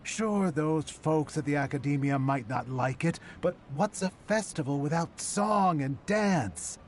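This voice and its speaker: A man's voice: a little high, animated, unhurried, slight background noise. A man playing an upbeat, boastful bazaar townsman in a video game, talking enthusiastically. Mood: proud. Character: upbeat festival-proud male bazaar townsman.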